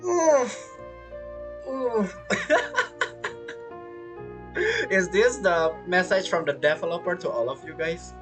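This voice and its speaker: A joking woman doing casual game commentary. Her voice sits a little low but swings expressively, with soft music in the background. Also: expressive, slow pace, pauses often. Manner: playful, joking. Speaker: gamer reacting to a story quest.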